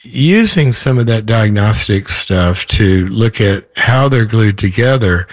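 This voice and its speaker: A man with a hoarse voice, a guest in an interview, talking in a thoughtful, rambling way with many restarts. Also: mid-range voice, no room sound. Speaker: author guest. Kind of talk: podcast interview.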